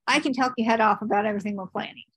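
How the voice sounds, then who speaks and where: A woman's voice: fairly high pitch, steady tone, rapid-fire, husky, no room sound. Rambling woman on a video call. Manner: rambling, many fillers and restarts. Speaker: panelist. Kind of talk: online panel talk.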